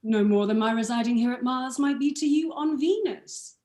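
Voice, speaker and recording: A woman playing a proud, guarded male ruler in a radio play, speaking formal, measured verse. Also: on the high side, mellow, echoey room, close to an everyday voice. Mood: stern, accusing. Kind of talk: live radio play acting in Shakespearean verse.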